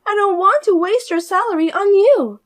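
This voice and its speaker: A woman voicing a spoiled, arrogant sister-in-law who talks down to everyone in a shrill, scolding way. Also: normal speed. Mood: indignant. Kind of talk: audio story voice acting.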